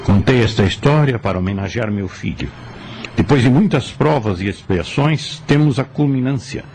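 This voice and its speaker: A man's voice: mid-range voice, lively intonation, moderate pace, darkish tone, soft background music. A man giving solemn, oratorical scripted narration for a radio drama.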